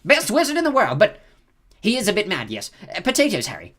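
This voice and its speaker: A man's voice: high-pitched, wildly swooping pitch, fast talker, a bit bright, voice pushed up, exaggerated voice. A man voicing a pompous, self-important teenage boy prefect with an airy manner.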